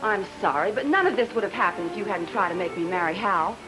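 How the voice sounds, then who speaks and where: Woman playing a defiant, fast-talking melodrama ingénue. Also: mid-range voice, lightly acted, rough recording. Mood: defiant, upset. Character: distressed, defiant young woman defending her lover. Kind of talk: old-time radio melodrama acting. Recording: old radio recording with music.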